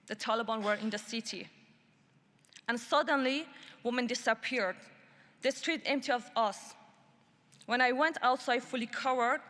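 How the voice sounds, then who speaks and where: A woman from Iran giving a prepared speech at a human rights summit. She speaks in a deliberate, measured and emphatic way. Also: fairly high pitch, fairly bright tone. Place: large conference hall. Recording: long hall reverb.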